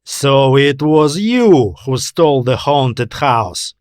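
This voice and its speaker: A man's voice: mid-range voice, big pitch swings, slow, no room sound, clean studio sound. A man voicing an angry, bitter man with a menacing, sarcastic manner in an audiobook story. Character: angry, bitter, defiant man confronting aliens. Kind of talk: audiobook character dialogue.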